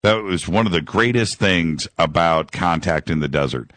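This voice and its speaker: A man's voice: low-pitched, normal speed, fairly bright tone, dry recording. A man hosting a live call-in radio show, enthusiastic and rambling, repeating words and leaning on fillers.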